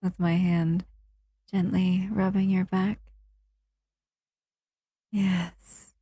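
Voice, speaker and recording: A woman's voice: on the low side, little pitch movement, extremely slow, dark tone, slightly rough, no echo, full character voice. A woman voicing a captive female shadow monster who soothes and cuddles the listener in a close, intimate audio roleplay. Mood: tender, soothing. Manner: soothing, intimate, often whispering.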